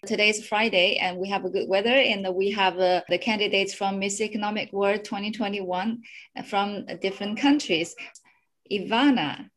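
A woman hosting an online interview show, speaking slow, simple English with fillers. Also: mid-range voice, fairly bright tone, low-quality recording. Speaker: show host. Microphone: video call.

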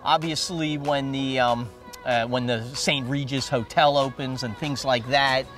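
A man's voice: mid-range voice, unhurried, faint music behind. A middle-aged man, a local newspaper publisher, chatting animatedly in an outdoor interview at a waterfront construction site. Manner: animated, talks with hands.

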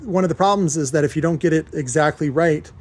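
A man's voice: fairly high pitch, fast, breathy edge. An American man giving a casual, off-the-cuff workshop walkthrough, rambling and informal as he explains his equipment. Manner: rambling, informal, occasionally profane. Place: cluttered industrial workshop indoors. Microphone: handheld camera held by the speaker.

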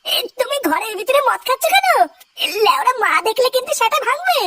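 A man voicing a cheeky, foul-mouthed young son in a comedy cartoon, excitable and crude, with a pitched-up voice. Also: brisk, very noisy, heavily acted. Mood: puzzled, scolding. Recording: music bed behind the voice.

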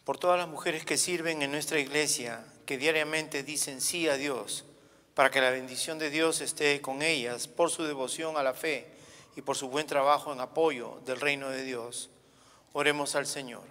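Male church reader, formal prayer petitions, bright forward tone, long hall reverb. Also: a little high, fairly even intonation, moderate pace. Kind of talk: formal read-aloud prayer. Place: large church, indoors.

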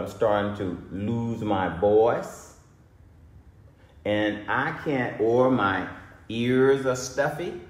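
A middle-aged man, a doctor, lecturing to a phone camera in an urgent care clinic, speaking slowly and repeating key phrases for emphasis. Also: lowish voice. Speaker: doctor giving a health lecture. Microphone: phone camera at a short distance. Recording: echoey clinic room.

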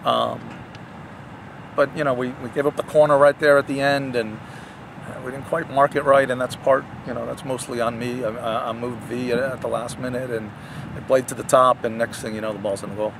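Older male coach, post-game sideline interview, halting delivery, clear outdoor noise. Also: mid-range voice, steady tone. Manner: halting, many restarts and fillers. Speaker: head soccer coach. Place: outdoor soccer field at night.

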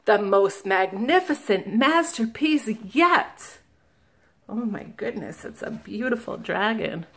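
A woman, a children's librarian, reading a picture book aloud slowly and gently, stopping to ask the listeners questions. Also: mid-range voice, expressive, gravelly. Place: indoors at a table, camera above the book.

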